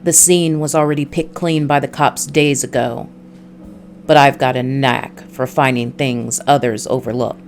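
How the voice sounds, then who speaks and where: A woman's voice: a bass voice, steady tone, moderate pace, a little hiss or hum. A woman voicing a world-weary ex-cop private detective, narrating a noir visual novel in a dry, brooding way. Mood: matter-of-fact.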